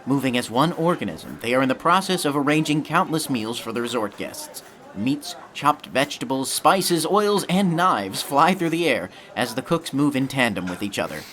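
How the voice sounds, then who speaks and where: A man's voice: mid-range voice, animated, normal speed, a bit bright. A man reading scripted narration for a sci-fi audio drama, with some ambient noise behind him.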